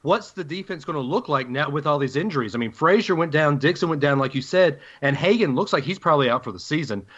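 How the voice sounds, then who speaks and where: A man's voice: a little high, animated, quick pace, few pauses, a bit bright, muffled recording. A man hosting a sports podcast, casually putting questions to his guest. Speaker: sports podcast host, interviewer.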